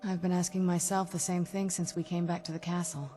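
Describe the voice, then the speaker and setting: A woman playing a young female vampire in a video game, earnest and guarded, speaking in a measured, softly intense way. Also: lowish voice, flat delivery, faint music behind, close to an everyday voice. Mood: somber, reflective. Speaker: game companion character.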